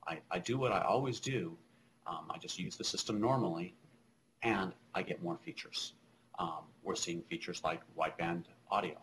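A man's voice: low-pitched, normal speed, fairly bright tone, a little hiss or hum, poor audio. A man in his thirties giving a conference keynote over a video call from a small office, conversational with many fillers and restarts. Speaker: keynote speaker. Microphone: video call webcam.

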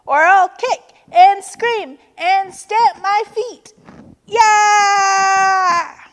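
Lady doing a bratty screechy turtle girl from a kids book, whining and demanding, really high, big echo. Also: sing-song, slow, background noise, exaggerated voice. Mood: screaming, frantic. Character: whiny, screaming young female turtle throwing a tantrum. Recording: long reverberant room.

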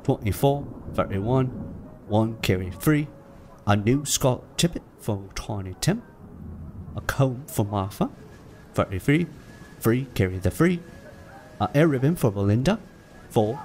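An actor playing a meek, kindly, poor clerk in an audio drama, humble and deferential in manner. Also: male voice, mid-range voice, lively intonation, measured pace, pauses often, a little mellow, slight background noise, lightly acted. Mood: absorbed counting.

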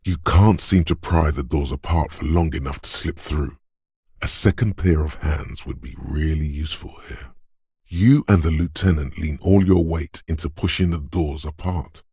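An actor playing a gruff, macho inner voice of physical strength, hectoring like a drill coach in a video game, gravelly and commanding. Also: male voice, extremely low pitch, darkish tone, dry recording, clean studio sound, heavily acted. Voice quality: gravelly, husky. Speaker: game character voice. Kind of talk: scripted video game narration.

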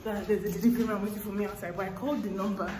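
A woman in her twenties with a Nigerian accent, chatting casually into a phone held at arm's length in her bedroom, animated and self-mocking, sounding sleepy and tired. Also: mid-range voice, rapid-fire. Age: 20s. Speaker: vlogger. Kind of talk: casual selfie vlog chat. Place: small bedroom indoors.

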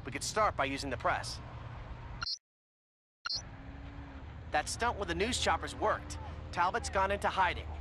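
A man playing an excitable, jittery young informant in a video game, speaking urgently over a noisy radio link. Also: high voice. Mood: brisk. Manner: urgent, hurried.

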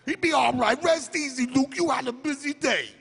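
A man voicing a rambling, crude comic Jedi mentor in a slow streetwise drawl at a live comedy table read. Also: very high-pitched, vocal fry, fairly bright tone, slightly rough, heavily acted. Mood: kindly, rambling. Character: rambling, crude comic Obi-Wan in a celebrity impression. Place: convention panel stage with audience. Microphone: table microphone.

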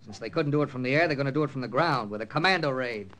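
A man's voice: medium pitch, rapid-fire, close to an everyday voice. A man acting a tough, grim American intelligence captain who narrates an old radio drama tersely. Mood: urgent. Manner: terse, hard-boiled. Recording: old radio recording with music behind.

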